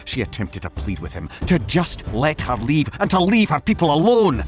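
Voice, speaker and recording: A man's voice: a little high, huge pitch swings, moderate pace. A man narrating a scripted mythological tale in a theatrical, emphatic, dramatic storytelling style. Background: background music.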